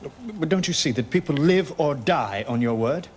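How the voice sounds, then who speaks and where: A man's voice: fairly high pitch, expressive, normal speed, a little hiss or hum, natural voice. An actor playing an earnest, insistent man pressing questions urgently in a radio comedy drama. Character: earnest, insistent male visitor questioning a strange ruler.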